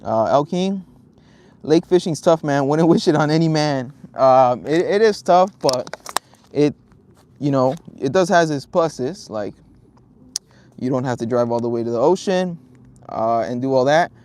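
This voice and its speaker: A man's voice: mid-range voice, expressive, measured pace, a little mellow. Laid-back young man streaming outdoors. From Southern California. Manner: laid-back, rambling, many ums and restarts. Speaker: fishing livestreamer. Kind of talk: casual live-stream chat, reading viewer comments. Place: outdoors at a park lake.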